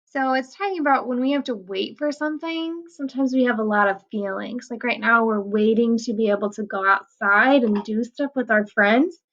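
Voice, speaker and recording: A woman reading a picture book aloud to children, explaining the pages in a gentle, patient way. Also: a little high, normal speed, few pauses, muffled recording.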